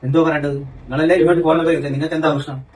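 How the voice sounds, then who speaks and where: Fast-talking, confrontational Kerala man. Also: a little high, fairly even intonation, darkish tone, rough recording. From Kerala, India. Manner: confrontational, sarcastic. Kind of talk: casual critical commentary and phone argument.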